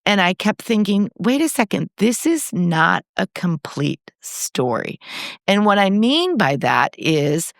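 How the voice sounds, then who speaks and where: A woman, the mother half of a podcast duo, chatting casually and telling stories with lively restarts and laughs. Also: slow, gravelly, no room sound, studio quality. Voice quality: low voice. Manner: animated storytelling, frequent restarts and laughs. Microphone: headphones on, studio-style setup.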